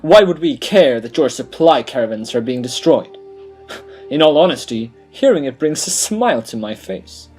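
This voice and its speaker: A man's voice: mid-range voice, sing-song, moderate pace, a little mellow, slightly rough, soft background music. A man playing a stern, scornful blood elf paladin, speaking with cold defiance in a fantasy audio drama.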